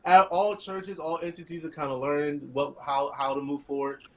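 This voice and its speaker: A man hosting an online panel discussion in a halting way, repeating phrases, from a room at home on a video call. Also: fairly high pitch, little pitch movement, fairly bright tone, a little hiss or hum. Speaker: host. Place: small room at home, indoors.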